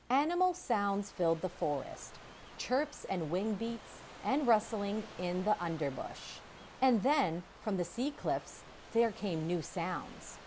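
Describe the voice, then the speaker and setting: Female storybook reader, lively pitch swings, deliberate dramatic pacing, faint hiss behind the voice. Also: rough recording. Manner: expressive, measured storytelling with dramatic pauses. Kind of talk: children's audiobook read-aloud, scripted narration.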